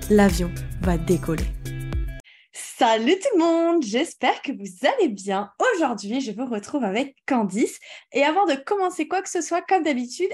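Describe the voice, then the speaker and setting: A woman's voice: on the high side, darkish tone. A woman hosting her podcast as a confidence coach, upbeat and speaking directly to the listener. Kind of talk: scripted podcast intro, then interview. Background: guitar music under the intro.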